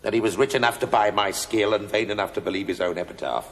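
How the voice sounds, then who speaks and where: A man's voice: on the low side, fast talker, a bit bright. An actor playing a wry, mercenary stonemason who is proud of his craft, speaking emphatically in a theatrical television-play style. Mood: wry, defiant. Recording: echoey hall, noisy old TV tape.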